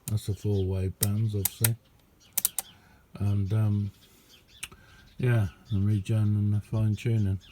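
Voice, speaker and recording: A man's voice: fairly low pitch, level tone, slow pace, long pauses, noisy background. A middle-aged man, chatting casually in a rambling, understated, self-deprecating way as he shows a hobby project. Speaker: amateur radio hobbyist showing his kit. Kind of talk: casual hands-on video chat. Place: small indoor radio room.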